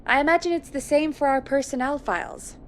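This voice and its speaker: Actress as a higher-pitched, practical woman radio producer. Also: brisk, slight background noise, close to an everyday voice. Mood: matter-of-fact, wry. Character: practical, frank woman radio producer in 1980s small town. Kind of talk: video game voice acting, conversational.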